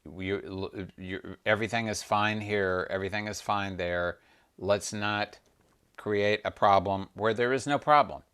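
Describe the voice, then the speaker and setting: A man voicing a calm, conciliatory god and husband in a storytelling session, soft-spoken, hesitant and placating. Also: on the low side, unhurried, clear, resonant tone.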